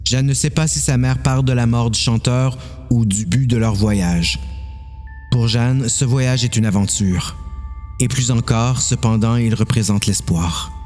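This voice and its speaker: A man's voice: medium pitch, a little slow, a little mellow, faint music behind. A man with a Quebec French accent narrating a scripted, dramatised story.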